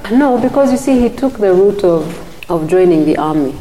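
A middle-aged Ugandan woman, a guest in an interview, talking thoughtfully with frequent restarts and soft murmurs of agreement. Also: medium pitch, expressive, normal speed, long reverb tail, rough recording. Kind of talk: casual interview talk.

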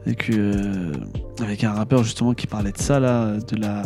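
Mellow-voiced man hosting a casual interview. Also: fairly low pitch, fairly even intonation, moderate pace, faint music behind. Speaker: podcast host interviewing a guest.

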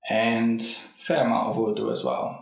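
A man from Brazil with a croaky voice, speaking thoughtfully in a casual interview with frequent pauses. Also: fairly low pitch, big pitch swings. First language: Portuguese. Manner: thoughtful, hesitant with frequent ums. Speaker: language school director. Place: language school. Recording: very echoey room.

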